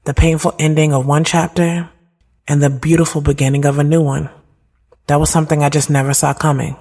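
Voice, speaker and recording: A woman's voice: low voice, flat delivery, a little fast, dark tone. A woman playing a reflective, conflicted thirty-year-old who narrates her own audio drama in a candid, slangy, conversational way. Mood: wistful. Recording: music behind the voice. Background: music.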